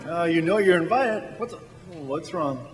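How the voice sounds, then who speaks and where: An actor playing an earnest, anxious small-town everyman in a radio drama, talking haltingly and repeating his words. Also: a little high, animated. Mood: earnest, kindly. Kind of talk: stage radio drama acting. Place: large church hall. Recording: echoey hall with long reverb.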